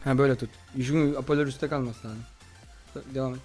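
A man's voice: medium pitch, fairly even intonation, fast, a little mellow. A man chatting casually as a gaming host, bossy as he instructs and complains. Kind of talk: casual let's-play chat with a friend. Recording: music behind the voice. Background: background music.

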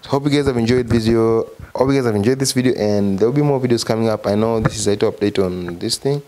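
Young Tanzanian man, low voice. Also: a little mellow. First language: Swahili. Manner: informal, repeats and corrects himself. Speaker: vlogger. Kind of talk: casual explanatory vlog. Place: living room, seated on a sofa. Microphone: handheld microphone.

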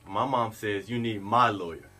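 Young man, lower voice, scripted ad, stiff delivery. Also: moderate pace, pushed and bright, slightly rough, soft background music. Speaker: ad spokesman. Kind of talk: scripted ad read.

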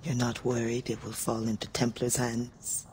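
A performer acts out a sorrowful rebel comrade in a game drama. The medium-pitched voice is wistful and reserved, with a wry edge. Faint noise lies beneath it. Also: completely flat delivery, normal speed, darkish tone, close to an everyday voice. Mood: wistful farewell. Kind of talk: cinematic video game acting.

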